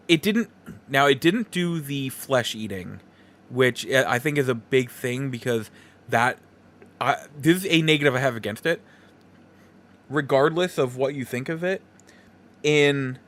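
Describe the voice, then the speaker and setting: A man's voice: mid-range voice, fairly bright tone. A man hosting a podcast, talking through a casual, rambling review in a conversational way. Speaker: podcast host. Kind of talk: casual movie review monologue.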